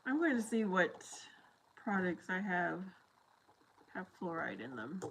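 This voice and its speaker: A woman's voice: fairly low pitch, big pitch swings, a little slow, lo-fi sound, a little hiss or hum. A young adult woman with a very young-sounding voice, chatting casually with viewers on a livestream, reading their comments and laughing often. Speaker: livestream host reading chat. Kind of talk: casual live chat with viewers.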